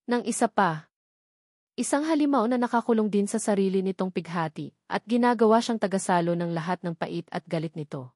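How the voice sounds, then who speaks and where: A woman reading a dramatic story in measured, scripted narration. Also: mid-range voice, darkish tone, no echo, clean studio sound.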